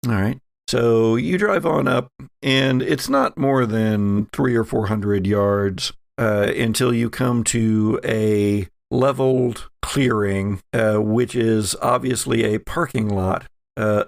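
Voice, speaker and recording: A man narrating a tabletop horror game as game master, setting scenes in a halting, deliberate way. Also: medium pitch, creaky, dry recording, studio quality.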